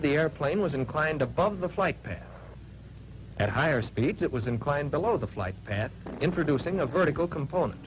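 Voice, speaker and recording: An American man playing an earnest fighter pilot trainee, narrating a 1940s training film in measured, matter-of-fact phrases, on an old film soundtrack. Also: mid-range voice, close to an everyday voice. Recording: muffled, noisy vintage 1940s film soundtrack.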